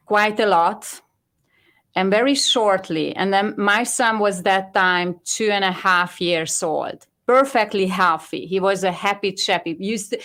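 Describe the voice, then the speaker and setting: A Hungarian woman speaking English with a Hungarian accent, giving an earnest, halting personal testimony as a guest. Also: medium pitch, a bit bright. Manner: earnest, halting non-native phrasing. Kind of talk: personal testimony on a talk show.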